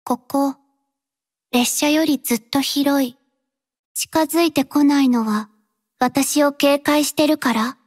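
A reserved young mercenary girl from an anime-style game, with a high, light voice. She speaks slowly in short, halting phrases. Her delivery is quiet, flat and earnest, dutiful to the end. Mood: soft, reserved. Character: reserved, dutiful young female mercenary robot-arm mechanic. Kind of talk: Japanese anime-style mobile game voice lines.

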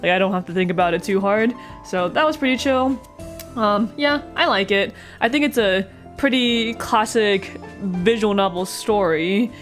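A woman's voice: mid-range voice, moderate pace. A young woman streamer giving casual, rambling reaction commentary on a story game, giggling and trailing off mid-thought, with faint game music behind. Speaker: let's play streamer.

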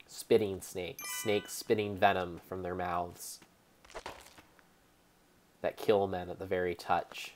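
A young man giving a theatrical, mock-serious dramatic narration over gameplay, speaking deliberately like a storyteller. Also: deep voice, little pitch movement, a little mellow, a little hiss or hum. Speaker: game streamer.

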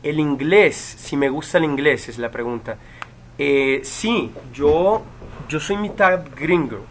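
A man in his twenties, from Chile, casually answering fan questions on a livestream from a room at home, relaxed, with many fillers and pauses. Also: fairly high pitch, a little hiss or hum. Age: 20s. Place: home room with bookshelves, indoors. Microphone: webcam.

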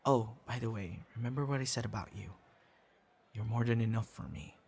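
A man's voice: lowish voice, brisk, gravelly, slightly rough. Man acting a hesitant young boyfriend in audio roleplay. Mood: pleading, tender. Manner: conversational, hesitant, with stammers and soft asides.

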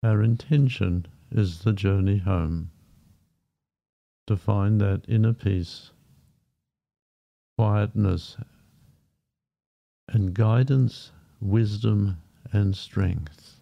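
A man guiding a meditation in a gentle, unhurried manner, leaving long pauses between short phrases. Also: on the low side, fairly even intonation, vocal fry. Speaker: meditation guide. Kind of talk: guided meditation, softly spoken. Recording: dull, muffled lo-fi sound. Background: background music.

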